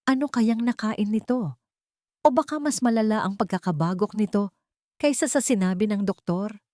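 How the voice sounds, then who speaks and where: A woman reading a scripted dramatic story in a solemn, emotive manner with deliberate pauses. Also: medium pitch, darkish tone, dry recording, studio quality. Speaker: audio drama narrator.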